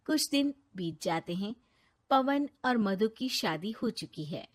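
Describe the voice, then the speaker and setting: A woman's voice: medium pitch, lively intonation, slow pace. A woman narrator reading a scripted moral story in a storytelling style.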